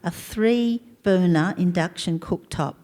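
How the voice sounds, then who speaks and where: Unhurried Australian woman, mellow tone, hesitant delivery. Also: fairly low pitch, big-room sound.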